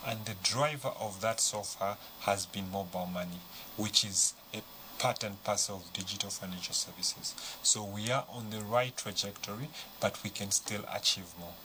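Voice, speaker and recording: A man from a central bank answering interview questions in a formal, measured way. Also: on the low side, fairly even intonation, pushed and bright, slight background noise, low-quality recording.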